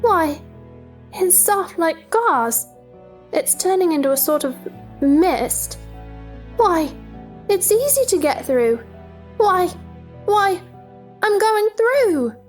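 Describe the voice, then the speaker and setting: A woman's voice: very high-pitched, big pitch swings, slow, long pauses, soft background music, exaggerated voice. A woman playing a curious, polite young girl, speaking with earnest wonder in a theatrical storybook dramatization.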